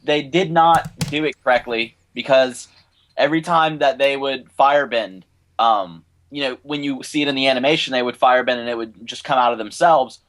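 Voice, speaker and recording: A man's voice: mid-range voice, moderate pace, a bit bright. A young American man chatting casually as a podcast co-host, in an opinionated, rambling fan manner. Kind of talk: casual panel chat about films and animation.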